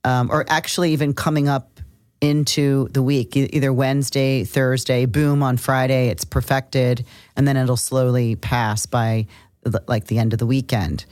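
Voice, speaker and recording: A woman's voice: rumbling low, flat delivery, moderate pace, darkish tone, no room sound, clean studio sound. A woman co-hosting an astrology podcast, chatting casually and emphatically in short bursts. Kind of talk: casual conversational astrology talk.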